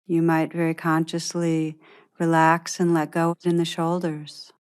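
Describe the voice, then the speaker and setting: A woman leads a guided meditation in a somewhat low voice. She speaks very slowly and soothingly, leaving long pauses between gentle, measured instructions. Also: monotone, clear, resonant tone. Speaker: meditation teacher guiding a practice.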